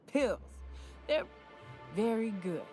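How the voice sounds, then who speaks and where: Hungover frontier hunter, swearing and folksy, swinging lively pitch, faint music. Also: female voice, slow. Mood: wheeling, dealing. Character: rough, hard-drinking frontier woman hunter guide. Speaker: hunting guide. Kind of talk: video game voice acting. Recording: game audio with faint music.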